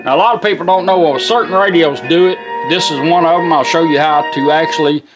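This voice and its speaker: A man's voice: on the high side, fast talker, rough recording. A man giving a casual, folksy hands-on product demonstration, explaining it step by step. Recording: music playing from radio behind voice.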